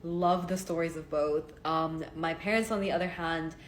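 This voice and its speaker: Woman, twenties, from Hong Kong, low voice, chatty vlog storytelling, faint background ambience. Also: fairly even intonation, moderate pace, slight background noise. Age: 20s. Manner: chatty, earnest, many "like" fillers. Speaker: vlogger. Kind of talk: casual talking-to-camera story. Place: home.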